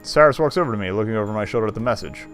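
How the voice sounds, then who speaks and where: A man's voice: on the low side, expressive, very fast, faint music behind. A man reading game text aloud and adding wry, casual commentary as a streamer.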